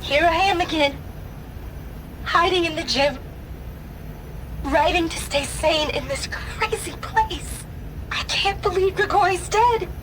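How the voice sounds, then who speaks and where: A woman's voice: very high voice, takes it slowly, long pauses, noisy background. A woman playing an anxious, disillusioned teenage girl confiding a diary in a video game, uneasy and a little sardonic. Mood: hurt, confiding. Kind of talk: game audio diary narration. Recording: faint music behind the voice.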